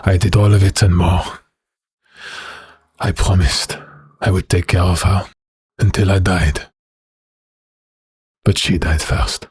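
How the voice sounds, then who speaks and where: Slow, very husky, brooding werewolf. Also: male voice, low-pitched, steady tone, no echo, studio quality, exaggerated voice. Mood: grieving, haunted. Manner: slow, halting, deliberate delivery. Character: huge, brooding male werewolf prisoner, wounded and menacing. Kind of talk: dramatic audio drama acting.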